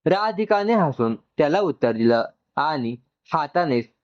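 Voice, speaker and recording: A man's voice: on the high side, big pitch swings, measured pace, dull audio. A man narrates a scripted story and acts out its dialogue.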